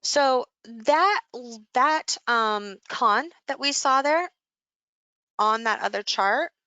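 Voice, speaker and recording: A woman's voice: on the high side, expressive, slow pace, sharp tone, clear, resonant tone, dry recording, highs cut off. A woman coaching a live session, explaining things informally and conversationally as she thinks aloud over charts.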